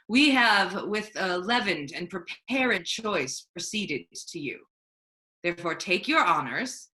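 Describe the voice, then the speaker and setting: A woman playing a grave, courteous male ruler in a staged Shakespeare reading, formal and measured in phrasing. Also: lowish voice, sharp tone. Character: grave, courteous male ruler handing over his power.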